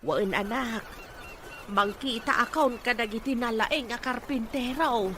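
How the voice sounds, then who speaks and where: A woman's voice: a little high, measured pace, noisy background, close to an everyday voice. A woman playing a protective, outspoken older mother in an Ilocano audio drama. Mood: motherly. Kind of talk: Ilocano radio-style drama acting.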